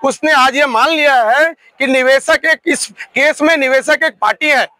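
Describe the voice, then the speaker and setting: A man with a hoarse voice, a protest organizer giving an earnest, steady rally speech to fellow investors. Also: very high voice, moderate pace, no echo. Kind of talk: rally speech thanking supporters.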